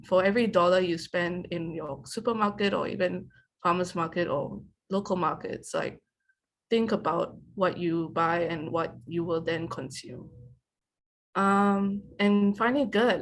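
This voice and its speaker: A woman hosts a panel and talks fast, her sentences strung together with "like" and "you know". Her voice sits a little low. A faint hiss of background noise sits under her speech. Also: steady tone, low-quality recording. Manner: fast, filler-heavy with frequent "like". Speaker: panel moderator. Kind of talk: panel discussion.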